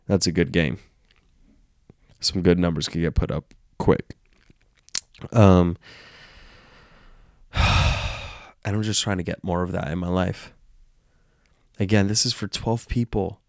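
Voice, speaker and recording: A man's voice: low-pitched, slow pace, long pauses, clean tone, no room sound, studio quality. A man hosting a casual solo podcast, congested and nasal from illness, rambling and self-aware. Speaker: podcast host.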